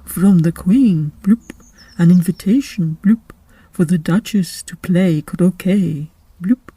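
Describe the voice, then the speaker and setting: A woman voicing a round-faced frog footman in a storybook, speaking with solemn, breathy formality. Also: on the low side, slow pace, dark tone, no echo, rough recording.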